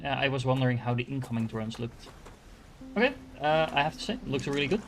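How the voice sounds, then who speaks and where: A man's voice: mid-range voice, animated, quick pace, background noise. A man from the Netherlands with a Dutch accent, casually commenting on a game he is playing and thinking aloud with many fillers and restarts. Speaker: gaming streamer.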